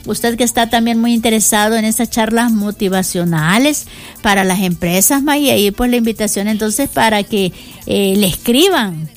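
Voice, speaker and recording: Woman co-hosting a radio show, pitched a bit high, leads into the breaks, faint music under her. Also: normal speed. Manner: agreeable, moderates the show. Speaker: radio co-host. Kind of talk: casual radio chat.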